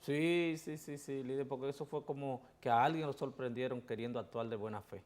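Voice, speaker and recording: A man's voice: mid-range voice, steady tone, brisk, a little mellow. A male talk show host with a mid-range voice speaks in short replies. He agrees briefly with his guest, then offers his own counterpoints.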